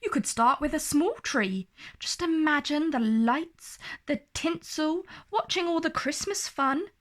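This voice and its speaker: Woman as a high, earnest young fairy schoolgirl. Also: expressive, unhurried. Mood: encouraging. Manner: earnest storybook character speech. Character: kind, anxious, encouraging young schoolgirl fairy.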